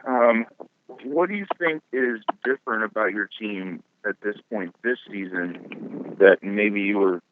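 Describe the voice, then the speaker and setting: Reporter guy, deep-ish low voice, asking a question over the phone, thin line sound. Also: measured pace, narrow, tinny audio, slight background noise. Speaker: newspaper reporter. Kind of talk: press question on a conference call. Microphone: telephone.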